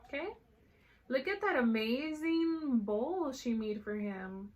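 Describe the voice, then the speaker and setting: A woman reading a picture book aloud to children in an animated way, pausing to explain words and ask questions. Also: sits high. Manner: animated, checks in with listeners, explains words simply. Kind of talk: expressive read-aloud with explanatory asides for children.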